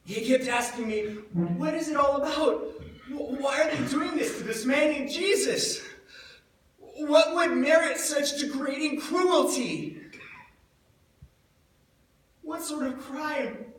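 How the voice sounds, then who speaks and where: An actor playing a grief-stricken, guilt-ridden disciple of Jesus in a theatrical monologue, speaking in a halting, emotive way. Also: male voice, extremely high pitch, fairly bright tone, a little hiss or hum, exaggerated voice. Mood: troubled, questioning. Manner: halting, anguished, emotive delivery with repeated words. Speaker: actor in a church dramatic monologue. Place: large echoing church indoors. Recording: echoey church, far from the mic.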